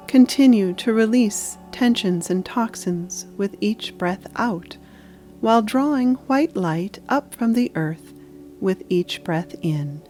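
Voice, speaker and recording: A woman narrating a guided meditation in a soothing, measured manner with deliberate pauses. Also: medium pitch, mellow.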